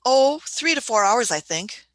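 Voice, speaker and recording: A woman's voice: a little high, very animated, measured pace, a bit bright, no room sound. A woman playing a genteel, testy old Doctor in a sci-fi radio play, with fussy, patronising, old-fashioned courtesy. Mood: genial.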